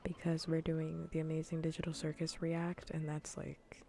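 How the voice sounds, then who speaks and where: Female ASMR game streamer: soft, mellow, gravelly fry, whisper-prone, relaxed rambling delivery on a close mic. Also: low-pitched, moderate pace, slight background noise. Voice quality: soft, breathy, often drifting into whispers. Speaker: livestreamer hosting a cozy gaming stream. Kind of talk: casual ASMR gaming stream chat. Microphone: close ASMR microphone.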